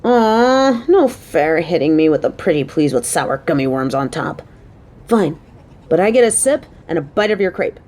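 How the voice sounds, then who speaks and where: A woman's voice: low voice, sing-song, moderate pace, a little mellow. A woman playing a caring, playful coworker on a date in an audio roleplay, talking to the listener in a motherly, teasing, chatty way.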